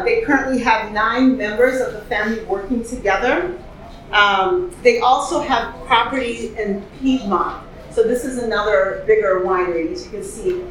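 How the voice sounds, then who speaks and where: A woman's voice: a little high, fairly bright tone. A woman hosting a wine tasting in a casual, enthusiastic way, asking the group questions as she goes. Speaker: host leading a wine tasting. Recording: echoey room with faint ambience.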